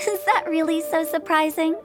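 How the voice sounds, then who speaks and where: A woman's voice: very high voice, measured pace. A woman voicing a mischievous young funeral director who teases and jokes in a theatrical way. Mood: teasing. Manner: teasing, theatrical, fond of wordplay. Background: background music.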